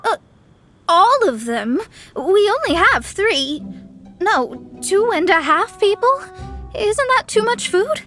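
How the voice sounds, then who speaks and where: A woman's voice: extremely high pitch, sing-song, slow pace, bright, forceful tone, faint music behind, full character voice. A woman playing a proud young astrologist in a video game, formal and lofty but easily flustered.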